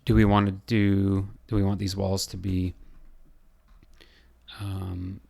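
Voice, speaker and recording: A man with a hoarse, scratchy voice, losing his voice, talking through a software design tutorial and thinking aloud with lots of pauses and fillers. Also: deep voice, little pitch movement. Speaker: building designer.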